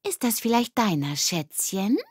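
A woman's voice: medium pitch, animated, measured pace, darkish tone, slightly rough, no echo, studio quality, pitched above the performer's own voice. A woman voicing a feisty, confrontational schoolgirl in a children's audiobook, in standard German. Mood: taunting. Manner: sassy, confrontational. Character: feisty, challenging schoolgirls of a gang.

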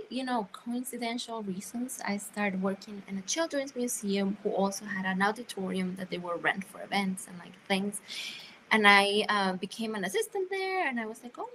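A woman in her thirties from Costa Rica, a scenographer speaking on a video call panel, animated and quick to laugh. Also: mid-range voice, a little hiss or hum, rough recording. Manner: animated, laughing, restarts sentences. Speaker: scenographer and costume design professor. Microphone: laptop webcam on video call.

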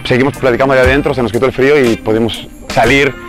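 A Mexican man in his thirties gives a reality show confessional outdoors. He speaks rapidly in a medium-pitched voice, with music clearly playing underneath. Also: steady tone. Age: 30s. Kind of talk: confessional interview, recounting events. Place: outdoors in a resort garden.

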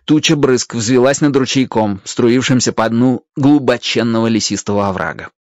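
A man's voice: mid-range voice, normal speed, no room sound, muffled recording. A man narrating a children's adventure story expressively from a script, as a storyteller.

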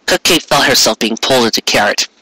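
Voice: mid-range voice, animated, fast, pushed and bright, a little hiss or hum, rough recording. A reader giving a dramatic narration of a scripted story. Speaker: fan fiction reader.

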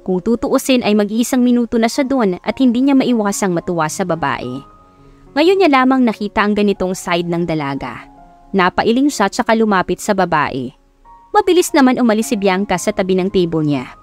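A woman's voice: medium pitch, sing-song, normal speed, soft background music. A woman with a Filipino accent reading scripted narration for a romance audiobook. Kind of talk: scripted narration of a Tagalog love story.

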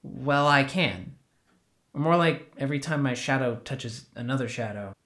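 A man playing an awkward, sheepish young retail worker in an audio drama, with a halting, casual, wry delivery. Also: mid-range voice, a bit bright. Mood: awkward, pleading. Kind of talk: naturalistic scripted audio drama dialogue.

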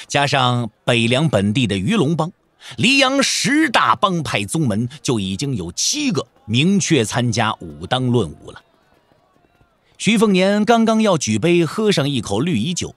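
A man's voice: medium pitch, wildly swooping pitch, unhurried, fairly bright tone, dry recording. A man narrating a martial-arts audio drama in a dramatic, theatrical way, stretching phrase endings for emphasis, close to the microphone. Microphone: close studio microphone.

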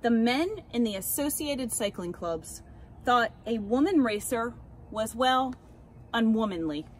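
An American woman reading a children's picture book aloud expressively, with deliberate, dramatic emphasis on key words. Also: on the high side, animated. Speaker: read-aloud storyteller. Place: outdoors beside a house. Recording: clear background ambient noise outdoors.

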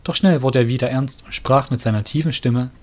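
A man's voice: medium pitch, fairly quick. A man narrating a scripted fantasy story as the narrator of an audio drama. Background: soft music.

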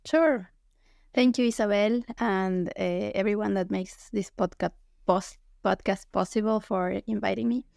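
A woman from Ecuador speaks through an earbud mic on a video call, her voice dry and close with no room sound. She talks at a middle pitch, hesitant, with many restarts and fillers. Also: fairly even intonation, a little slow, creaky, darkish tone, no room sound. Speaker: guest anthropologist. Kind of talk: casual interview talk. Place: small home room. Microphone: earbud headset on video call.

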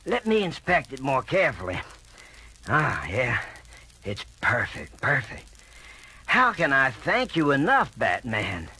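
Fussy, anxious elderly gentleman. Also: mid-range voice, huge pitch swings, measured pace, husky, dry recording, exaggerated voice, low-quality recording. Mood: relieved. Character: fussy, anxious, grateful elderly male art owner. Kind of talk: comic-book audio drama acting. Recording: vintage vinyl record drama with music.

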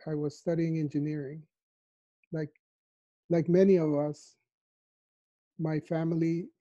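A man in his fifties from El Salvador, answering interview questions thoughtfully from his living room on a video call, with a lapel mic. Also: a little high. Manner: soft-spoken, thoughtful, with frequent fillers. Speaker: mathematician interviewee. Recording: dull lo-fi video call audio.

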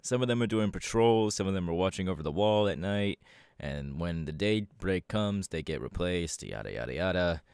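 A man playing a proud, laid-back superhero mentor in an ASMR audio roleplay, talking casually with drawling, hesitant pauses. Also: low-pitched, animated, a little mellow, smooth, no room sound, studio quality. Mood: casual, explaining.

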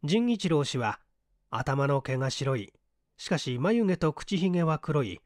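Lively-pitched male audiobook narrator, dry sound. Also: a little slow, no room sound. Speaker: audiobook reader, stage actor. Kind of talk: scripted literary narration of a mystery story.

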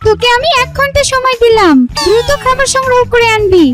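Shrill, nagging cartoon vixen wife. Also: female voice, brisk. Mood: scolding. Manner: nagging, sharp-tongued. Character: shrill, scolding, bossy wife fox. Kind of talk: children's fairy-tale cartoon voice acting. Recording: loud children's music under the voice.

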